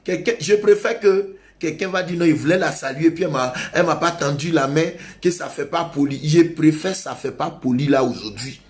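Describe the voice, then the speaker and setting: A man preaching in a fairly high voice, driving his points home with insistent, repeated exhortations. He has the steady, earnest push of a pastor addressing his congregation. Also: normal speed. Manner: emphatic, repetitive exhortation. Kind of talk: sermon.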